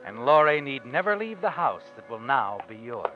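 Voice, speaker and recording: A man's voice: mid-range voice, very animated, moderate pace, close to an everyday voice. Man acting an ominous suspense narrator over music. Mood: sly, ominous. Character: ominous, taunting unseen narrator addressing the guilty. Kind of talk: 1940s suspense radio narration. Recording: muffled old radio with music underneath.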